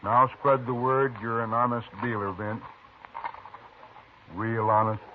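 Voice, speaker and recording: A man's voice: medium pitch, fairly even intonation, a little slow, lightly acted. An actor playing a jovial, boastful man who has just won at cards, in a 1950s radio drama, talking in a hearty, chuckling way. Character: boastful, lucky card-winning man, hearty. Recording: scratchy, tinny old radio broadcast.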